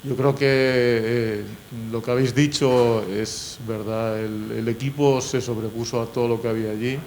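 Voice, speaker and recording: A man's voice: mid-range voice, steady tone, moderate pace, a little mellow. A man in his sixties, a former handball player, speaks in a reflective, hesitant way. Faint ambient noise surrounds him.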